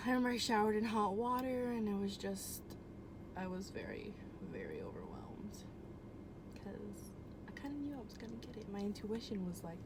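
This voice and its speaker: A woman's voice: mid-range voice, sing-song, moderate pace. A young woman telling a personal story at home in a casual, rambling way, full of "um" and "like" and easy swearing. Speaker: vlogger. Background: air conditioner hum.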